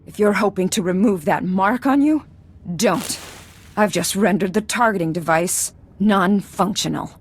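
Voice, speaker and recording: A woman's voice: mid-range voice, a little slow, husky. An actress playing a cold, haughty noblewoman villain in a video game, threatening and fanatical. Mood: cold menace. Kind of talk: dramatic video game acting.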